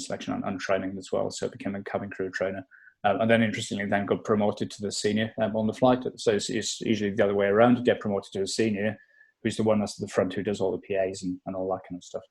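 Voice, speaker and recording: Middle-aged south welsh guy telling his story, lots of ums and restarts, lowish voice. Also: a little mellow, low-quality recording. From South Wales. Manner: chatty and self-deprecating, with many fillers and restarts. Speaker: podcast guest. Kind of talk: casual interview chat.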